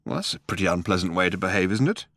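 A man voicing a flustered, awkward young man who stammers and fumbles for words in a high, strained voice. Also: rapid-fire, a bit bright, dry recording, studio quality.

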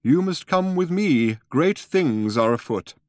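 A man voicing a mild, polite old male planet-builder who talks slowly and wistfully, raised above his own voice, recorded dry and close. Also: a little high, animated, darkish tone, no room sound, voice pushed up. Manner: slow and drawn out, mild and courteous.

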